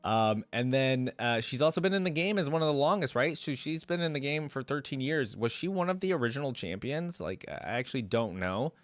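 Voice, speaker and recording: A man talks casually to viewers as the host of a reaction video. His pitch is average and his commentary is informal and conversational, recorded very dry with no room sound. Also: lively intonation, a little fast, few pauses, dry recording.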